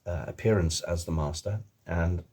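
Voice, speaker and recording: Low husky man, half English half Welsh. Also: moderate pace, a little mellow. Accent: mix of English and Welsh. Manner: rambling, full of ums and restarts. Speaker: author, illustrator and voice actor. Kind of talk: casual video chat to camera.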